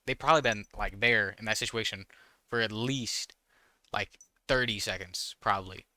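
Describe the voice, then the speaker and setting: A man's voice: fairly low pitch, normal speed, sharp tone, dry recording. A man reading visual novel text aloud with casual, wry commentary, sometimes stumbling over the words. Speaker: gaming YouTuber, host.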